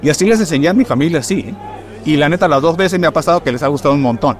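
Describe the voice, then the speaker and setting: Fast-talking middle-aged man, mixed accent. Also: a little high, animated, faint music behind. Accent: mixed Caribbean and Mexican Spanish. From Puerto Rico. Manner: chatty, storytelling, fillers like "este". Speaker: film director, podcast guest. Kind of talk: casual conversation.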